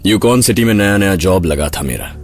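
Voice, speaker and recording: Man acting haunted survivor, animated horror storyteller. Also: low-pitched. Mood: reflective, uneasy. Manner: dramatic, halting, fearful. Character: haunted male survivor recounting a terrifying snowy night. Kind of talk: audio horror drama storytelling. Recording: loud music under the voice. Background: loud background music.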